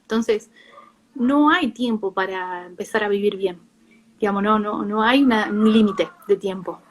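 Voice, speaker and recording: A woman psychologist with an Argentine accent, explaining informally in a live talk with a halting, self-rephrasing delivery. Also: medium pitch, poor audio. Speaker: psychologist, guest speaker.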